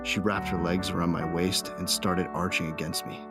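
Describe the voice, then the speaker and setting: A man's voice: low voice, little pitch movement, normal speed. A man playing a passionate, lovestruck space bounty hunter, narrating intimately and earnestly in an audio drama. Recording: loud music behind the voice. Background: music.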